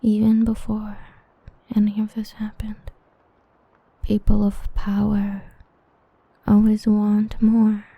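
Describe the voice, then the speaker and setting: A woman's voice: medium pitch, monotone, husky, no room sound, narrow, tinny audio. A woman whispering as a brooding half-demon villainess. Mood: hushed menacing. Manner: hushed, intimate, slow and brooding. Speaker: ASMR roleplay performer. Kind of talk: whispered ASMR roleplay.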